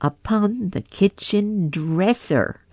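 A woman voicing a chorus of tiny, rude, merry mouse tailors who chant nursery rhymes in a high sing-song. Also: lively intonation, slow, darkish tone, dry recording. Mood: merry, teasing.

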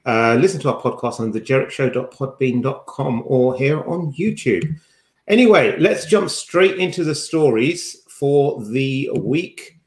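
A man's voice: mid-range voice, lively intonation, unhurried. Male host for casual show banter, dry and teasing, recorded with noticeable room echo. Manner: teasing, dryly joking. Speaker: podcast host. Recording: roomy, echoey sound.

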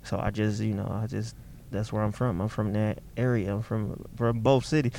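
A man's voice: lowish voice, moderate pace, vocal fry, a little hiss or hum. A young comedian from the Detroit area, chatting casually in an interview and acting out the people in his stories. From Detroit area, Michigan. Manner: animated storyteller, acts out voices, laughs often. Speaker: comedian guest.

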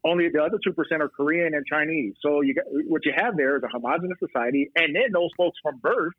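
A man talking about policing as a podcast guest, telling stories fast and emphatically with quoted exchanges. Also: on the high side, no room sound. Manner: fast, emphatic storytelling with quoted back-and-forth. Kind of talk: casual podcast chat. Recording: tinny phone-line audio.

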